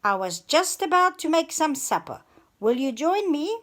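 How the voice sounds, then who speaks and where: A woman's voice: high-pitched, very animated, normal speed, fairly bright tone, pitched above the performer's own voice. A woman voicing a sensible little pig who speaks in a confident, reassuring way. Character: calm, sensible little pig in a brick house.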